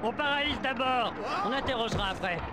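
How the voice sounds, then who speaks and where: A man's voice: very high voice, fairly quick, very noisy. An actor playing a male city police officer in a dubbed video game, barking orders during a chase. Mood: commanding. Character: shouting male city cop chasing an animal.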